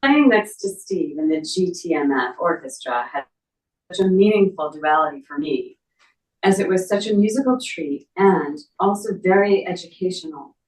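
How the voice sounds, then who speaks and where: A woman's voice: lowish voice, lively intonation, normal speed, darkish tone. A middle-aged woman speaking at a memorial, reading a written tribute aloud. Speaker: memorial speaker, relative of the family. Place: echoey indoor memorial gathering. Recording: echoey room, distant microphone.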